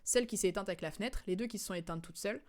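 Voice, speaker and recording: A woman's voice: mid-range voice, rapid-fire, darkish tone. A young woman streams casual commentary on video game cutscenes in a mid-range voice. She explains things in a loose, rambling way, with plenty of slang and an informal, chatty delivery.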